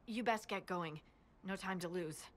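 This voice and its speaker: A woman's voice: medium pitch, unhurried, natural voice. A woman voicing an earnest, plain-spoken young female scout in a fantasy video game. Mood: serious, concerned.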